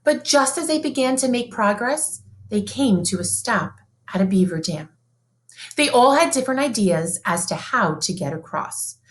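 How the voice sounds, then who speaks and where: Lively woman reading a picture book. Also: a little high, normal speed. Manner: expressive, sing-song storybook reading. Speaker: teacher reading aloud to children. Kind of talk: children's read-aloud storytelling.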